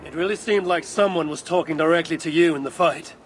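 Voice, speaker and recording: An actress playing a blunt, proud, suspicious Viking warrior woman in a video game, husky. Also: sits low, fairly quick, soft background music, natural voice. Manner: blunt, curt, guarded. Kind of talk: dramatic video game cutscene acting.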